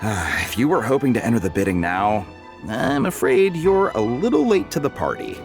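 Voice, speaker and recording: An actor playing a genial, gruff merchant in a video game, talking in a wry, businesslike way. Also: male voice, mid-range voice, wildly swooping pitch, moderate pace. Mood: wry, weary. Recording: game music behind the voice. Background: game music.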